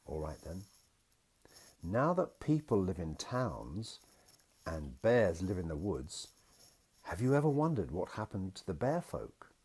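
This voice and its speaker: A man voicing a gentle, patient father in a picture-book story, reassuring his small daughter as he explains things. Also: low-pitched, very animated, measured pace, pauses often, husky, exaggerated voice. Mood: confiding.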